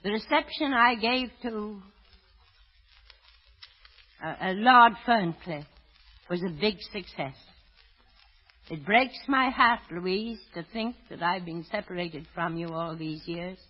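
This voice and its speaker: Husky, fretful old apple seller. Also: female voice, mid-range voice. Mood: tender, muttering. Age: 60s or older. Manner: halting, fretful, muttering to herself. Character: poor elderly woman apple seller, anxious doting mother. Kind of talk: 1930s radio drama acting. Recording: dull vintage radio recording.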